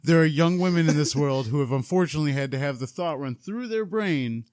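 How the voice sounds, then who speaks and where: A man co-hosting a comedy podcast, reacting to a story with quick jokes. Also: on the high side, expressive, a little mellow, no room sound, studio quality. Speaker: podcast co-host. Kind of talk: casual comedy banter.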